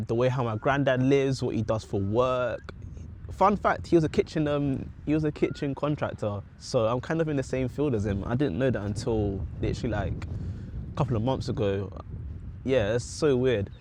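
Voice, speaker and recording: A man's voice: medium pitch, normal speed, darkish tone, a little hiss or hum. A young man with a British accent reflecting on his goals in a casual, rambling vlog, often correcting himself mid-sentence. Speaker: vlogger. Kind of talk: casual talking-head vlog.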